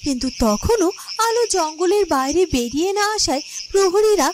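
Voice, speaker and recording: A woman's voice: very high-pitched, animated, moderate pace, noisy background. A woman narrating a scripted fairy-tale cartoon as a storyteller. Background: music.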